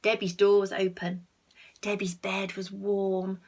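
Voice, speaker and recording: A woman reading a picture book aloud to children, expressive, with asides to the listeners and hushed tones for effect. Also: medium pitch, a little slow. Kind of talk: animated read-aloud with asides to young listeners.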